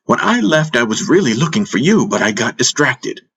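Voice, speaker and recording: Man playing an earnest, husky-voiced young-hearted disciple. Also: mid-range voice, sing-song, normal speed, fairly bright tone, pitched above the performer's own voice, heavily acted. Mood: apologetic. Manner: confessional, sincere. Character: earnest, devoted male disciple of a master. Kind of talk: audiobook character dialogue.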